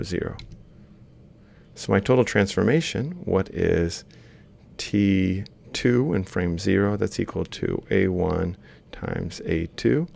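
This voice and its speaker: A man's voice: low-pitched, creaky, a little mellow. A man lecturing informally, explaining a worked example step by step and thinking aloud as he writes. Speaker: university lecturer.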